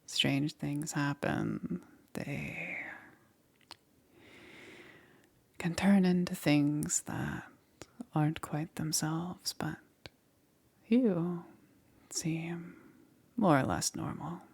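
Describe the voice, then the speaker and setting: Casting: a woman plays soft-spoken witch necromancer for ASMR roleplay; gravelly fry, husky half-whisper, calm and eerily gentle. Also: deep voice, heavily acted. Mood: calm, musing. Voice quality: breathy, often dropping into whisper. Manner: unhurried, halting with pauses, soothing.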